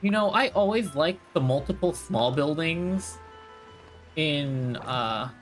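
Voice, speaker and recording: A woman's voice: low-pitched, animated, slow. A woman live-streaming a game, giving casual commentary and musing aloud. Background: game music.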